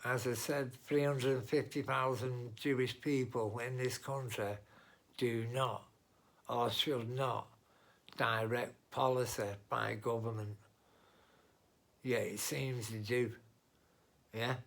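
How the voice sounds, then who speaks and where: A man's voice: mid-range voice, fairly even intonation, takes it slowly, gravelly, long pauses, a bit bright. A man giving a blunt, rambling monologue straight to his viewers, with restarts and asides. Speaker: vlogger. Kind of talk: unscripted political monologue.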